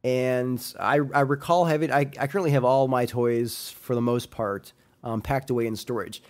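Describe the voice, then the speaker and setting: A man's voice: mid-range voice, fairly even intonation, moderate pace. A young adult man, slightly nasal and congested from a sinus infection, hosting a casual solo podcast and rambling with many ums and restarts. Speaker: podcast host.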